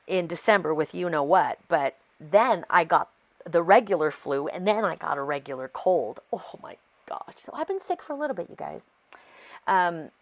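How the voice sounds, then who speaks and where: Chatty American woman, slightly low, congested. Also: vocal fry. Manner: chatty, rambling, easily sidetracked. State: congested with a sore throat from a lingering cold. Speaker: craft video host. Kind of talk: casual narration of a craft process.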